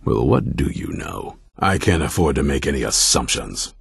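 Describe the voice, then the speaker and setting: An extremely deep, husky voice for a video game's old vampire hunter. He is a grim, ruthless commander, stern and blunt. His delivery swings widely in pitch and has a heavy rasp. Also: sing-song, moderate pace, dry recording, studio quality, exaggerated voice. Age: older. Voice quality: very deep, gravelly, raspy. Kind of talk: video game character dialogue.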